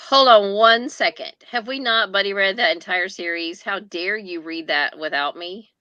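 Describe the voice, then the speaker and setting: A woman's voice: mid-range voice, normal speed, bright, forceful tone, no echo, lo-fi sound. A woman hosting a casual group book chat, talking in a chatty, playfully teasing way. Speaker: host of a book chat livestream. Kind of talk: casual chat, reading viewer comments. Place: indoors on a group video call.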